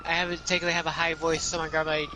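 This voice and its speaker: A man chatting casually during an online game, rambling and halting with many fillers. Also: on the high side, monotone, background noise. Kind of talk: casual chat while gaming.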